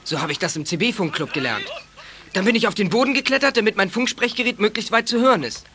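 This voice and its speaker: A man's voice: sits high, lively intonation, quick pace, noisy background. A male performer playing a proud, polite young boy who loves CB radio, in a children's radio play. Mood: excited, proud.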